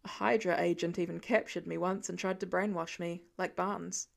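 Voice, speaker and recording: A woman voicing a weary, gentle middle-aged male archer with an earnest, reassuring, plain-spoken manner in an audiobook story. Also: fairly low pitch, steady tone, moderate pace, gravelly, pitched below the performer's own voice. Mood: wry.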